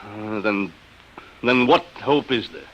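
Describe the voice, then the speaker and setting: A man's voice: lowish voice, takes it slowly. An actor playing a bitter, beaten businessman and father, plain-spoken and sardonic, in vintage radio drama style. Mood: earnest. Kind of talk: 1950s radio drama acting. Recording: muffled, band-limited old radio recording.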